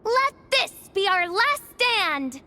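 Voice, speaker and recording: A grandiose game princess with a very high, bright voice. She declaims pompously in old-fashioned phrases and takes her time, with some noise behind her. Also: sing-song, takes it slowly, heavily acted. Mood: defiant. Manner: pompous archaic dramatic speech. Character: theatrical young princess, grandiose, commanding servants. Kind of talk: video game character acting.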